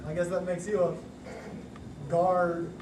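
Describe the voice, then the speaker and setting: Slow, earnest man as puppet checkpoint official, echoing. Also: high-pitched, monotone. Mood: officious, wry. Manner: deliberate, mock-formal. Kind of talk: amateur church puppet show acting. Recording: distant echoey recording with loud noise.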